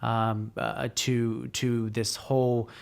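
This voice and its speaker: A man hosting a podcast, asking questions haltingly with many fillers. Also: medium pitch, little pitch movement, vocal fry, clean tone. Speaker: podcast host. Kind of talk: interview questions.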